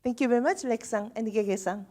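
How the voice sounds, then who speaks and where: A woman's voice: a little high, fast talker, mellow. A woman moderating a university video, formally introducing international students one by one. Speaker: faculty moderator.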